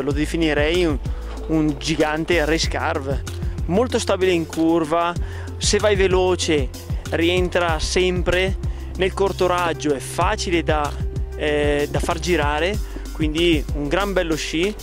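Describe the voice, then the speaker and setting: A man giving a ski test review outdoors on a slope with a handheld mic, deliberate and hesitant. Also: on the high side, over music. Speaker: ski tester. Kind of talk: product review.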